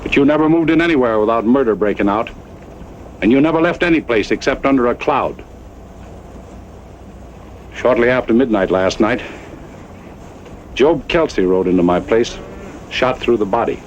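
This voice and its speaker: An actor playing a stern, proud old American cattle baron, grave and deliberate, in a Western radio drama. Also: male voice, medium pitch, animated, natural voice. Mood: grave accusation. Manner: grave, deliberate, plain-spoken. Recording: muffled old radio recording, faint noise.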